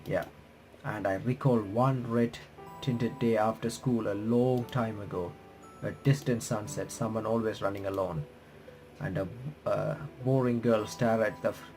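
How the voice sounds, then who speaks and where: A man reading visual novel text aloud with bits of his own commentary, halting and correcting himself as he goes. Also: lowish voice, mellow, rough recording. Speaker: gaming reaction streamer. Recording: music playing behind the voice. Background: game music.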